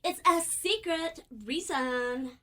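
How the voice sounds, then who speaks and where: A woman's voice: extremely high pitch, takes it slowly, pushed and bright, heavily acted. A woman playing a coy, dim young artist's model in a comic radio play, with a ditzy drawl.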